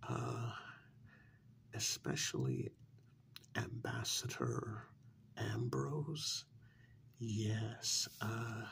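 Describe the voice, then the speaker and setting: Man acting as obedient supernatural manservant, very creaky soft breathy voice, hesitant, apologetic, ASMR role-play, faint noise behind. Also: medium pitch, heavily acted. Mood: hesitant, deferential. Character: deferential, flustered supernatural manservant to a powerful mistress.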